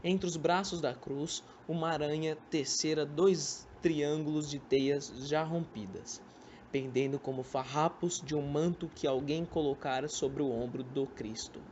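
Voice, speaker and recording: A man's voice: a little high, darkish tone, dull audio. A young man with a Brazilian accent reading scripted literary narration for an amateur radio drama. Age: young adult. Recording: amateur recording with background noise.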